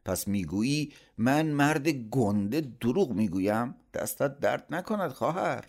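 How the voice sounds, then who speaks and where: A man's voice: medium pitch, expressive, darkish tone, voice pushed up. A man voicing a furious, blustering middle-aged uncle who scolds and accuses in a dramatised story. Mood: scornful, furious. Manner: aggressive, indignant, accusing.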